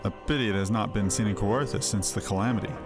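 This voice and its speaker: A man voicing a courteous, gently wry young nobleman in a fantasy game. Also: lowish voice, quick pace, natural voice. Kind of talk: voiced reading of fantasy game dialogue. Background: music.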